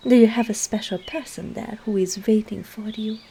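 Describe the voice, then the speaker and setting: A woman playing a sly, coaxing witch herbalist in an ASMR roleplay, speaking softly and often slipping into a whisper. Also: mid-range voice, steady tone, moderate pace, slightly rough. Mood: curious.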